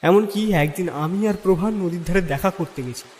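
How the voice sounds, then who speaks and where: A man's voice: sits high, fairly quick, darkish tone, natural voice. A man playing a lovelorn young village man, earnestly recounting his troubles in an audio drama. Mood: troubled recounting. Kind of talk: dramatic first-person storytelling.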